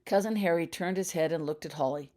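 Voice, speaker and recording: A woman with a low voice narrates a story as an audiobook. She reads scripted prose as the author of the tale. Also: fast, darkish tone. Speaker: author reading own fiction.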